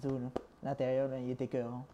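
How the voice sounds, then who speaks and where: A man's voice: medium pitch, fast, dull audio. A young man from France with a French accent turning Québécois, telling stories casually in an interview and acting out the dialogue. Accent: French, partly Québécois. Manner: animated storyteller, acts out dialogue, laughs often. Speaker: guest.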